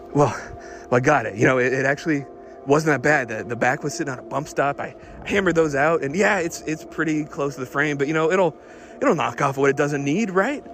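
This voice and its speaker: Guy acting as unhinged car obsessive, voice a bit high, stammers and repeats himself, audio drama diary w music under it. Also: fairly bright tone. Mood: relieved, fixated. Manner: halting, nervous, repeating himself, muttering fixations. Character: obsessed man secretly lowering his car, increasingly unhinged.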